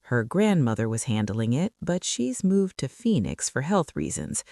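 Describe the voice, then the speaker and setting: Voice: medium pitch, huge pitch swings, a little slow, gravelly, dark tone, no room sound, studio quality, heavily acted. A narrator as a guarded, controlled male boss. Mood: curt, controlled. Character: curt, controlled male billionaire boss, guarded single father.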